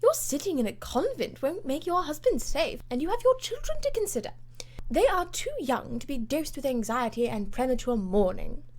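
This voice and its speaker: Bluff high-society English lady played by a female reader: high, swooping, forceful, coarse-mannered, storybook. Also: big pitch swings, moderate pace. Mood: bluff, brisk. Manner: loud, blunt, exclamatory.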